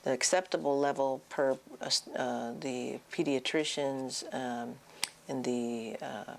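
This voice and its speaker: A middle-aged woman speaking as a child psychiatrist, giving an informational health talk in a halting, deliberate way. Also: very deep voice, fairly even intonation, creaky, a little mellow, a little hiss or hum.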